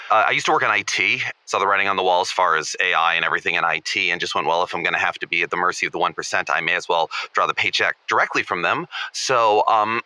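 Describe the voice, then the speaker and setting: A man's voice: fairly low pitch, pushed and bright, no echo, poor audio. A man playing an anxious, lovestruck butler in training on a comedy phone-in, earnest, hesitant and overwrought. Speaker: caller.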